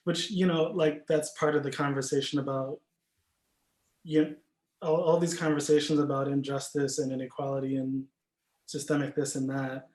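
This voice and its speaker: A man's voice: mid-range voice, flat delivery, moderate pace, a little mellow. A man speaking informally in a panel discussion, questioning and hedging as he works through an idea, with echoey room sound.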